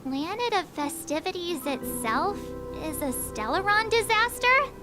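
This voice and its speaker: A woman voicing a small, cute, blunt companion in a video game, squeaky and direct. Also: big pitch swings, measured pace, music in the background, exaggerated voice. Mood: puzzled. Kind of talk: video game story acting.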